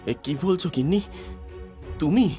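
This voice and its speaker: A man playing an anxious, emotional village headman in an animated melodrama. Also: a little high, a little slow. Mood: shocked, reproachful. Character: terrified, pleading village headman, a married man. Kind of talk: dramatized animated village story. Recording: music behind the voice.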